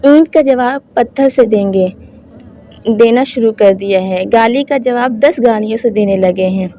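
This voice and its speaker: High-voiced woman giving soft-toned religious advice. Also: measured pace. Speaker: host of Islamic advice channel. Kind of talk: informal religious talk to viewers.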